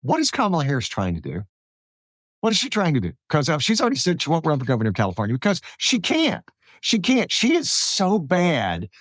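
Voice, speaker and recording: An American man hosts a casual interview in a fairly high voice that swings widely in pitch. He is animated and repeats phrases for emphasis, with a dry, close sound. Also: brisk, no room sound. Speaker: podcast host.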